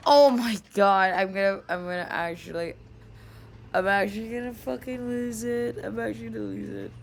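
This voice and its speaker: Lively, exasperated young woman streamer. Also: medium pitch, moderate pace, slight background noise. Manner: exasperated, swears, animated reactions. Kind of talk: casual live-stream chat.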